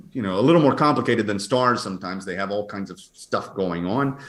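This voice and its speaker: Deep voiced guy explaining science stuff, kinda halting as he thinks it through, roomy echo. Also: a bit bright. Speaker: astronomer guest. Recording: roomy, echoey sound.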